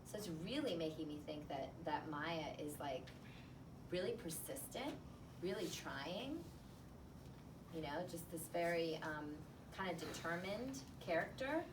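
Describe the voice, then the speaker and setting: A woman teacher reading a picture book aloud to a class, pausing to think out loud in a conversational way. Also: lowish voice, animated. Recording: roomy, distant mic, clear background noise.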